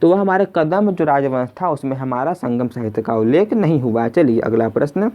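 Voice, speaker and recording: Quiz tutor for exam prep, soft dark tone, medium pitch, repeats each question to listeners in a friendly address. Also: animated, fairly quick. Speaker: quiz teacher. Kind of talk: scripted question-and-answer exam lesson narration.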